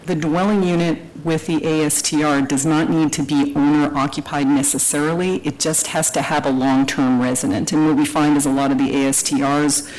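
A planning staff presenter who explains a case slowly and deliberately at a public hearing. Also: medium pitch, little pitch movement, darkish tone, low-quality recording.

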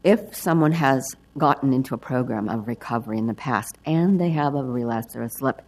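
An older woman with a hoarse, froggy voice giving rambling public comment at a council hearing. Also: rumbling low, brisk, a little mellow. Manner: rambling, chatty. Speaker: public testifier.

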